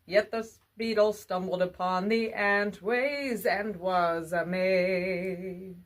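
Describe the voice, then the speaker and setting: A woman telling a children's bedtime story in a drawn-out, singsong delivery. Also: medium pitch, fairly even intonation.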